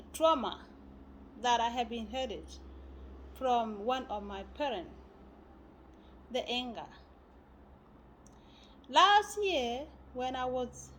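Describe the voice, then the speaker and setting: A woman from South Sudan giving a spiritual talk, often pausing and searching for words. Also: on the high side, a little hiss or hum, low-quality recording. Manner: halting, searching for words with many fillers. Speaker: spiritual teacher.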